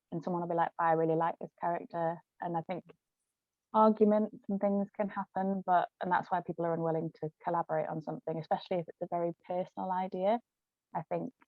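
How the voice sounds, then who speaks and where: British female author in casual interview, lowish voice, dry but phone-like audio. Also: dry recording. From United Kingdom. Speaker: co-author and ghostwriter being interviewed. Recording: thin phone-like sound.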